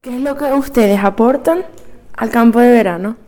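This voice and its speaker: A woman's voice: fairly high pitch, normal speed, warm tone. A woman working as interviewer, reading her questions formally for a radio piece.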